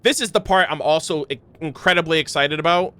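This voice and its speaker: Youngish guy streamer, highish voice, real bright n clear, rambly off the cuff commentary. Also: a little fast, no room sound. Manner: rambling, thinking aloud. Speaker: gaming reaction streamer. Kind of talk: casual unscripted lore commentary.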